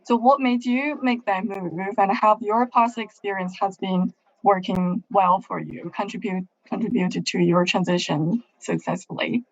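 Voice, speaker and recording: A woman's voice: medium pitch, a little slow, no room sound, lo-fi sound. A woman hosting a career meetup, asking the guest long questions in a friendly way. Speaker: meetup host. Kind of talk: interview questions.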